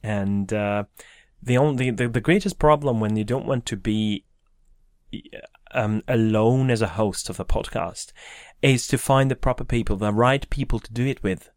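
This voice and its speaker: A man's voice: on the low side, moderate pace, darkish tone. Man hosting a podcast. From Hungary. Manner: hesitant, many fillers and restarts. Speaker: podcast host. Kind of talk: casual podcast chat.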